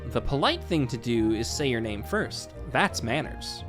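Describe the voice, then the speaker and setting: A man voicing cheeky, excitable twin boys with a loud, prim, singsong manner. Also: medium pitch, a little slow, over music. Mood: prim, playful.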